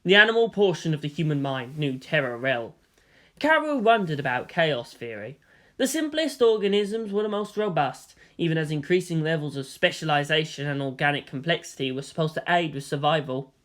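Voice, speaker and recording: A theatrical storyteller reads scripted fiction with great expression. The pitch moves in a lively, animated way, giving the prose a dramatic shape. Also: a little fast. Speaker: audiobook-style narrator of fan fiction.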